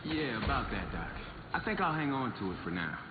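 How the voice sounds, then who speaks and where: A man's voice: medium pitch, big pitch swings, brisk, echoey room, big-room sound, highs cut off, background noise, lightly acted. A man voicing a casual, wary young street hero in a video game. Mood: hesitant.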